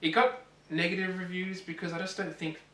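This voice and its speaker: A man co-hosting a gaming show, chatting casually and giving rambling, animated opinions. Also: high-pitched, fairly quick. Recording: roomy echo, distant mic, faint noise.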